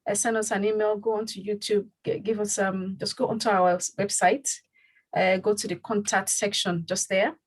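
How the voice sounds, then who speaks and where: Woman host, husky, casual rambling delivery, lots of fillers, mid pitch. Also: level tone, moderate pace. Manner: rambling, with many fillers and restarts. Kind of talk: casual community show presenting.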